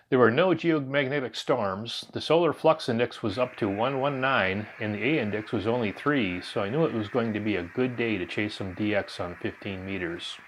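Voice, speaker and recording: A man's voice: on the low side, fairly bright tone, slight background noise. Man narrating a hobby vlog. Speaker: amateur radio video narrator. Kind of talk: scripted narration.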